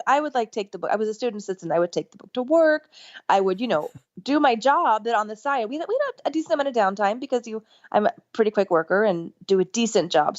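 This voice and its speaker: A middle-aged woman, a podcast guest, telling a story in an enthusiastic, rambling way, with lively jumps in pitch. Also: no room sound. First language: English. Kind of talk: casual storytelling interview. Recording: dull lo-fi remote line.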